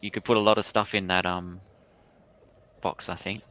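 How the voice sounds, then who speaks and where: A man chatting casually and haltingly, often repeating words. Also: low voice, flat delivery, background noise. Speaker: panelist. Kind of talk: casual hobby chat.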